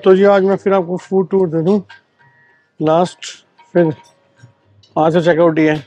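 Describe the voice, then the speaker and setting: A man's voice: high-pitched, fairly even intonation, unhurried, warm tone. A man chatting casually to viewers as a travel vlogger, often with a friendly "so, sir" filler, in a hotel breakfast area on a camera mic. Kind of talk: casual travel vlog chat. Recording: dull lo-fi sound with faint music.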